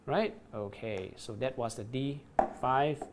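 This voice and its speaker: A man's voice: mid-range voice, takes it slowly, noisy background. A man presenting a product on a livestream, demonstrating it and reading viewer comments, often repeating words and checking in with "right?".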